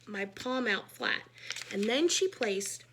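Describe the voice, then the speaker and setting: A woman reading a children's book aloud, narrating expressively in an animated, emphatic way. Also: medium pitch, slow, a little hiss or hum. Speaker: read-aloud storyteller.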